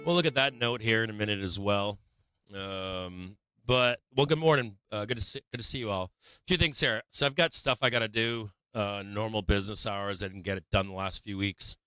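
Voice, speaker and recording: A hoarse middle-aged man hosting a casual stock market livestream, rambling candidly and swearing now and then as his voice gives out. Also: lowish voice, brisk, bright, forceful tone, no echo.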